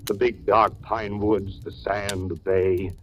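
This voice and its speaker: An actor playing a folksy, forward suitor with a breezy, coaxing manner in a 1940s radio suspense drama. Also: male voice, fairly low pitch, little pitch movement, slow. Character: folksy, forward suitor, a hotel man from Maine. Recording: hissy old radio recording with music.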